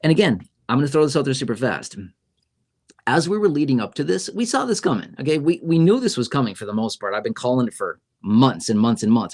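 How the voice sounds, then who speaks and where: Chatty man hosting a livestream. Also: medium pitch. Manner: conversational, repeats "okay?" and "right?". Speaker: YouTube host. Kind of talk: casual livestream explainer.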